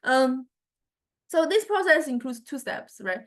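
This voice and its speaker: A woman lecturer giving an informal software demonstration, explaining haltingly and often checking in with listeners. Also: sits high, lively intonation. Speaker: university lecturer.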